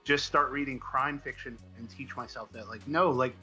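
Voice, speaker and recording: Male guest, casual interview talk, mid-pitched and very bright, halting, self-deprecating delivery over faint music. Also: fairly even intonation. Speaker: comic book writer being interviewed. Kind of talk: casual chat, interview answers.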